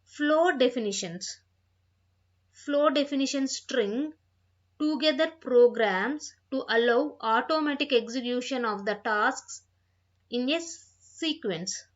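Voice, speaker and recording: A woman giving an instructional software tutorial, reading out points and repeating phrases as she explains them, on a dull, lo-fi microphone. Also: high-pitched, takes it slowly. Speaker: software trainer.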